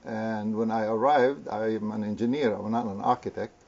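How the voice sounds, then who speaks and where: Hesitant older man from Lebanon, low gravelly voice. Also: steady tone, a little mellow. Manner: hesitant, many pauses and fillers. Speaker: interview guest, community founder. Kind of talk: conversational interview. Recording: muffled lo-fi recording.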